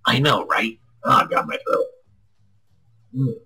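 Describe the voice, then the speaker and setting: A man with a husky, strained voice reading a visual novel aloud expressively, with crude joking asides and stumbles over lines. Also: medium pitch, lively intonation, slow, pushed and bright. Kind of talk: expressive read-aloud with casual banter.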